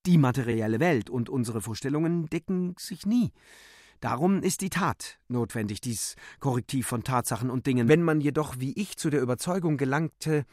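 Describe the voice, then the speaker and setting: A man giving a solemn, declamatory and deliberate dramatic recitation of literary text for a radio play. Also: fairly high pitch, darkish tone, dry recording, studio quality. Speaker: narrator and reciter.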